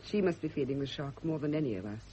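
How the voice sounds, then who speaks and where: Composed gentlewoman, lilting, muffled recording. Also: a bass voice, lively intonation, fast talker. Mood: solicitous. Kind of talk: radio drama acting. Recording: dull, noisy old radio recording.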